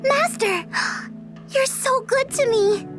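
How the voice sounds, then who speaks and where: Very high, cutesy servant girl. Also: takes it slowly, over music. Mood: adoring. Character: devoted, doting, submissive young woman servant. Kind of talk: audio drama character acting.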